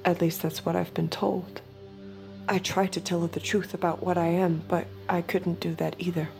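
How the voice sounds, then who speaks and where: A guarded young escort with a low, soft-edged voice. She speaks coolly and evasively, as if confiding an inner monologue in an audio drama, with music around her. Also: level tone, normal speed, vocal fry. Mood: confessional, brooding. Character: guarded, detached young woman escort hiding her true self. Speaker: lead character and narrator. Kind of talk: intimate audio drama acting with inner narration.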